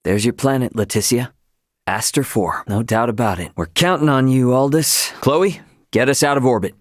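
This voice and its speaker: A man's voice: mid-range voice, normal speed, no room sound, clean studio sound, lightly acted. An actor playing a brash young male starship captain in a video game, with a casual, plainspoken delivery. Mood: brisk, businesslike.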